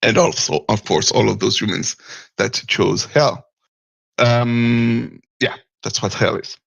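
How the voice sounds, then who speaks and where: Gravelly man, hesitant. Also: lowish voice, steady tone, slow pace, fairly bright tone, no room sound, low-quality recording. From Martinique. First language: French. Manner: hesitant, searching for words, chuckles often. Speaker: caller. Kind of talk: call-in discussion.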